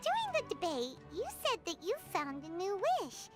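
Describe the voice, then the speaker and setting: An adoring small child for a video game, extremely high and swooping wildly in pitch. The delivery is slow, gushing and earnest, with loud music behind it. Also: female voice, wildly swooping pitch, heavily acted. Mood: curious. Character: excitable, adoring little child.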